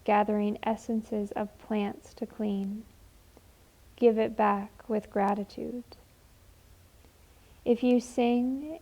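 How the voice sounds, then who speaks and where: A woman leading a guided meditation in a gentle, measured, deliberate way. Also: mid-range voice. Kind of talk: slow, soothing guided meditation and poem reading.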